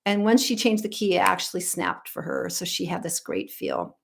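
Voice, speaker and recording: A woman's voice: fairly low pitch, huge pitch swings, moderate pace, creaky, a little hiss or hum. A middle-aged American woman, a vocal coach, chatting casually on a livestream and reading out viewer comments, rambling with lots of ums and restarts. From United States.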